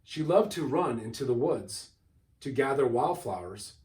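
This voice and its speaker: A man reading a children's storybook aloud in a deliberate, expressive way, recorded from a distance. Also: mid-range voice. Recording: distant microphone.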